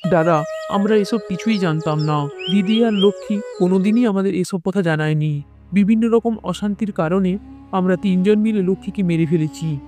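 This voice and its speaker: A man playing a gullible young husband who is quick to scold in a cartoon story. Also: high-pitched, warm tone, lightly acted. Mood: grave. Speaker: narrator and voice actor. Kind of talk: dramatic storytelling narration for a cartoon tale. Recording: music bed behind the voice.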